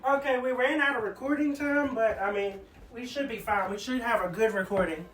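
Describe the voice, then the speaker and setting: A man's voice: very high-pitched, fairly quick, background noise. A man presenting an informal, hands-on demonstration of antique recording equipment, explaining each step as he goes.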